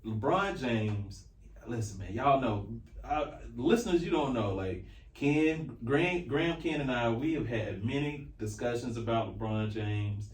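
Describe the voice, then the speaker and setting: A man's voice: medium pitch, animated, a little slow. A man in his forties from North Carolina with an American accent, chatting casually and emphatically as a podcast co-host about sports. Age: 40s. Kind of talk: casual sports talk, opinionated. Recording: roomy echo, distant from mic.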